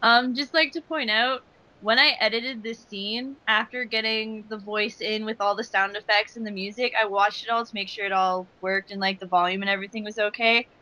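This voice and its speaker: A woman's voice: medium pitch, steady tone, a little fast, bright, forceful tone, poor audio. A woman talking informally as a voice actress and editor on a casual commentary track. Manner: informal, peppered with "like".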